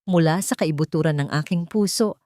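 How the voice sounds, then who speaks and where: A woman narrating a scripted dramatic audiobook story with measured, dramatic phrasing. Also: fairly low pitch, dark tone, no echo, clean studio sound.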